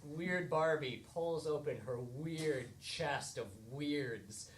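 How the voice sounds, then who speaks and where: A woman's voice: low-pitched, slow. A woman narrating improvised stage comedy as a performer. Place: theater stage, live show. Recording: echoey stage, clear background noise.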